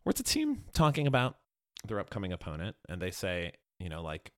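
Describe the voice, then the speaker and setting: A man's voice: sits low, huge pitch swings, a little fast, dry recording. A man co-hosting a podcast, chatting casually about sports with dry, wry humor. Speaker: podcast co-host.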